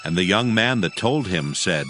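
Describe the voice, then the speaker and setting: A man narrates scripture in a steady, measured storytelling voice that sits a little low. Faint music plays softly behind him. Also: low-quality recording. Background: faint background music.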